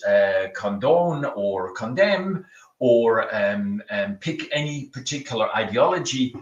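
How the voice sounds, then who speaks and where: A middle-aged man from Switzerland with a Swiss accent, giving a conference talk over a video call in a relaxed, halting way with many ums and restarts. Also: mid-range voice, highs cut off. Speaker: keynote speaker. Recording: echoey room, from far off.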